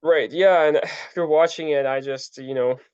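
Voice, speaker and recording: A man's voice: on the high side, normal speed, smooth, dry recording. Man, dry and dull audio. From Ukraine. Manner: relaxed storytelling with many fillers and restarts. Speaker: guest, ultra trail runner. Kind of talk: casual interview answers.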